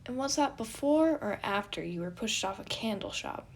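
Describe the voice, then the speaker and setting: Woman as a deadpan, bookish young adviser. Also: fairly high pitch, moderate pace, husky. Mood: smirking. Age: teenage. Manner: deadpan, formal, precise wording. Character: dry, logical, bookish teenage adviser with wry wit.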